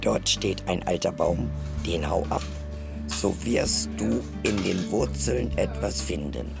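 Slow, very deep gravelly male storyteller. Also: lively intonation. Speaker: narrator. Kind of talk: fairy tale storytelling. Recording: music behind the voice. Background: guitar music.

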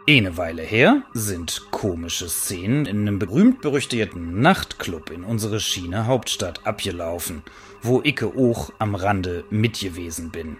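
A man playing a brash, cheeky working-class housewife in a radio play, chatting in slangy Berlin dialect with mock-formal turns. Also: deep voice. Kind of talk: comic radio play monologue, addressing listeners.

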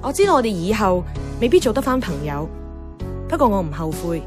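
A woman's voice: medium pitch, big pitch swings, brisk, lightly acted. An actress playing an outspoken young woman in a Hong Kong Cantonese radio drama, blunt and teasing, moving between dialogue and inner narration. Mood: reflective. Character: outspoken, headstrong young woman, a radio host chasing her dream. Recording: music under the voice.

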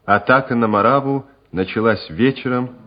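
A man's voice: medium pitch, moderate pace. A man narrating a war radio play in a dramatic, scripted style. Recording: muffled, noisy old radio recording.

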